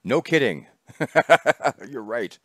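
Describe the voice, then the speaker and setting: A man's voice: mid-range voice, expressive, extremely slow, fairly bright tone, dry recording. A man hosting a casual chat show, rambling and laughing as he talks. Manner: chatty, rambling, laughs often. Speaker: host. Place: small studio.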